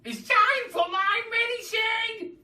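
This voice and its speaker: A man voicing a bossy, cantankerous old grandmother in a storybook, screeching and shouting demands. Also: slow pace, echoey room, full character voice. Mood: demanding, angry. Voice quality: shrill, screechy. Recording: far from the mic, noisy background.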